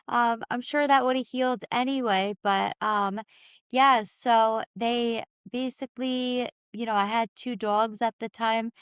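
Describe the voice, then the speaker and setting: A woman with a raspy voice, telling her own story candidly and informally as a podcast guest. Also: on the high side, steady tone, takes it slowly, no room sound. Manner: informal, peppered with "like" and "you know".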